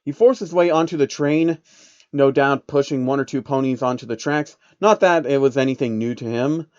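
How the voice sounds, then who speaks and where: A man's voice: medium pitch, moderate pace, clean tone, dry recording, lo-fi sound. A man reading fan fiction aloud in a casual storytelling style, with irreverent, sweary asides. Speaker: narrator of online fan fiction.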